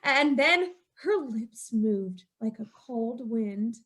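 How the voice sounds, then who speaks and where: A woman narrates a radio horror tale in the first person, her voice high. She sounds tense and haunted, and she climbs toward a frantic edge. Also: expressive, slow, background noise. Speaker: narrator and lead character. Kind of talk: old-time radio drama narration.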